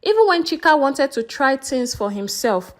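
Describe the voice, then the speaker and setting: A female storyteller reads a folktale from a script. Her voice is high, and her pitch moves up and down in a very lively way. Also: normal speed, background noise.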